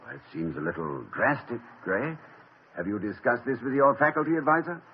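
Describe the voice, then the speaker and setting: An actor playing a genteel, pedantic middle-aged college president who hesitates and stammers, in 1950s radio comedy style. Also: male voice, mid-range voice, big pitch swings, fairly quick, creaky, a little hiss or hum. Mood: mild. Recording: dull lo-fi 1950 radio broadcast.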